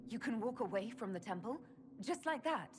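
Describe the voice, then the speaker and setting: An actress playing a cautious, questioning leader in a fantasy game, speaking in an earnest and direct way. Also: female voice, a little high, lively intonation, normal speed, noisy background, close to an everyday voice. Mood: wary. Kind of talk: video game fantasy voice acting. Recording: music behind the voice.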